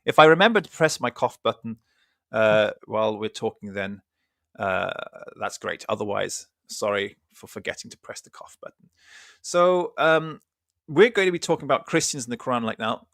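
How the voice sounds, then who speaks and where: A man's voice: on the low side, fairly bright tone, no echo. A man hosting a religious podcast in a studio, chatting in a rambling, self-correcting way, with a slight cough. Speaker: podcast host. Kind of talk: casual religious podcast talk. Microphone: studio mic with cough button.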